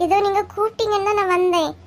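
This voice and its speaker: A woman voices an anxious young cartoon woman with a notably high pitch. She is timid and hesitant, pleading in a Tamil Nadu accent. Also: steady tone, racing. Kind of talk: cartoon story dialogue.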